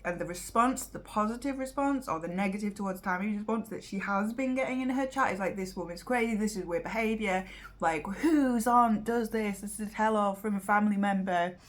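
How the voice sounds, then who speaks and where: Rambling British woman reacting casually. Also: medium pitch. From Britain. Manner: rambling, halting. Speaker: reaction host.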